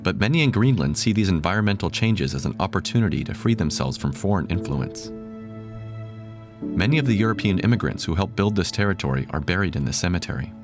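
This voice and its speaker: A man reading scripted documentary narration in a measured, even tone. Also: deep voice, music in the background.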